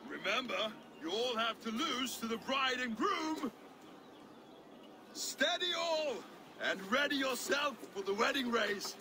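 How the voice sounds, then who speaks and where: A man's voice: very high-pitched, expressive, unhurried, pushed and bright, a little hiss or hum, exaggerated voice. A man playing a hearty, commanding announcer at a festive race in a video game. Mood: rousing. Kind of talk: dramatic video game acting.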